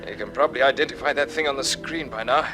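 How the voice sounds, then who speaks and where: An actor playing a steady, decisive space captain in a 1950s radio drama, with brisk, clipped commands. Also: male voice, medium pitch, close to an everyday voice. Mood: focused, tense. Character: steady, decisive male space captain leading his crew. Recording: vintage radio serial with music behind.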